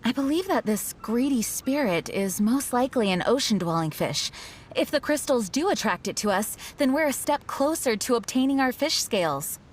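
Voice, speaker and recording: Woman playing a composed, higher-voiced young leader. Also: expressive, a little hiss or hum, close to an everyday voice. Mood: pondering. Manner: measured, formal, considerate. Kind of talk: anime-style video game voice acting.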